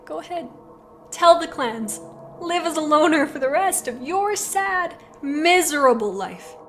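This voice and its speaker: A woman voicing a righteous young female warrior cat, cutting and deliberate with betrayed scorn, in an animated fan drama. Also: sits high, slight background noise. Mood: cold contempt. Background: dramatic background music.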